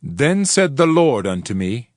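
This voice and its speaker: A man playing a solemn Old Testament prophet, reciting scripture in a measured, declamatory way. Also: mid-range voice, very animated, no room sound, clean studio sound. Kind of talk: dramatized King James scripture narration. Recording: music under the voice. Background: music.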